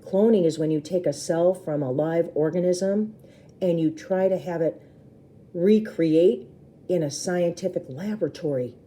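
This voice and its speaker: A woman's voice: on the low side, measured pace, dark tone. A woman storyteller reading a children's book aloud expressively, with brief explanatory asides to listeners.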